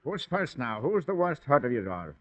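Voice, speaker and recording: An actor playing a brisk, take-charge policeman in an old-time radio drama. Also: mid-range voice, big pitch swings, fairly bright tone. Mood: brisk, commanding. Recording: muffled vintage radio recording.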